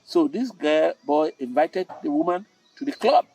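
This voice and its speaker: An older man with a Cameroonian accent, a storytelling host who delivers tales and moral advice in a theatrical, emphatic way with dramatic pauses and repetition. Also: on the high side, lively intonation, warm tone, slightly rough. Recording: roomy, distant mic with music.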